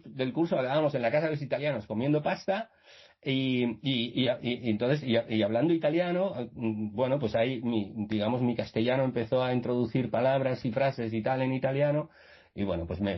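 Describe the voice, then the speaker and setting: A man's voice: mid-range voice, a little fast, breathy edge. A man, a radio guest telling his story in a rambling, casual way with many restarts. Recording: muffled video-call audio.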